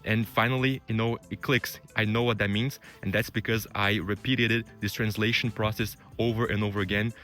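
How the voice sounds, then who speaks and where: A man's voice: lowish voice, level tone, bright, forceful tone, soft background music. A man from Brazil whose first language is Portuguese, giving casual advice to camera in an informal way with frequent fillers. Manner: quick, informal, frequent "you know" fillers. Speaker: vlogger giving career tips.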